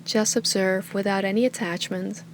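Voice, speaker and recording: A woman teaching meditation with a calm, soothing, unhurried delivery that often trails into breathy softness. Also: mid-range voice, slight background noise. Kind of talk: guided meditation, soft slow instruction.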